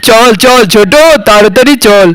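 Man playing a very high-voiced doting farmer brother. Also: fairly even intonation, moderate pace, a bit bright, clean tone, no echo, rough recording. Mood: tired, fond. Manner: earnest, affectionate. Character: devoted, doting village farmer brother, hardworking and sentimental. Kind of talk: Bengali cartoon voice acting.

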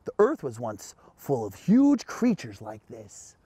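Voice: medium pitch, huge pitch swings, slow, warm tone, slight background noise, voice pushed down. Kindly schoolteacher man from a storybook, read by a narrator. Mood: patient, explaining. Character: kindly male schoolteacher explaining patiently to his class. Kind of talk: storybook character voice.

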